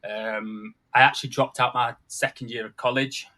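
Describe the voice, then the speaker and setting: A man's voice: medium pitch, steady tone, normal speed, bright, forceful tone. A man with a Sheffield accent chatting casually as an interview guest, down-to-earth and self-effacing. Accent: Sheffield, northern English. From Sheffield, England. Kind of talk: casual chat.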